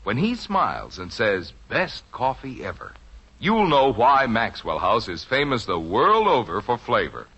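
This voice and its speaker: Husky, very animated American ad man. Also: mid-range voice, measured pace. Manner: persuasive. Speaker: commercial announcer. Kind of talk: scripted 1950s radio advertising pitch. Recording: muffled old radio recording.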